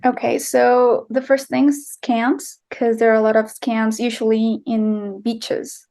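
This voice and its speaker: Chatty Colombian woman, higher voice. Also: flat delivery, measured pace, gravelly, mellow. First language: Spanish. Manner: chatty, full of fillers and restarts, laughs easily. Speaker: guest, writer and filmmaker. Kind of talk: casual interview answers.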